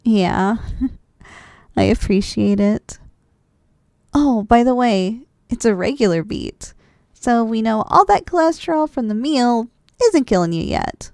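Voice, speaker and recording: Woman as sleepy, loving young-sounding wife for cuddly ASMR roleplay: soft, teasing, very animated pitch, close dry sound. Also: takes it slowly, vocal fry, long pauses, no echo, heavily acted. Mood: tender, teasing. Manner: soft, affectionate, teasing, with sighs and giggles. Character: affectionate, drowsy, playful wife cuddling after a big dinner. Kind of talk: ASMR roleplay, intimate one-sided dialogue.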